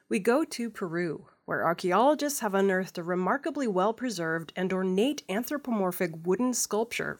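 A woman news host reading scripted stories in a measured, formal manner. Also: lowish voice.

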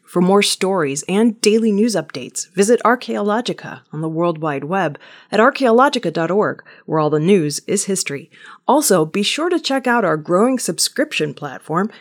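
A woman reading a scripted weekly news bulletin in a measured, steady manner. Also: on the low side. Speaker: news reader.

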